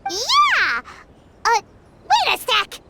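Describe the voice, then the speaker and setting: Squeaky, excitable little fairy girl. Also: drawn-out words, vocal fry, background noise, heavily acted. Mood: excited. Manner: perky, exclamatory, folksy. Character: excitable squeaky small childlike female fairy companion. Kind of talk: video game voice acting.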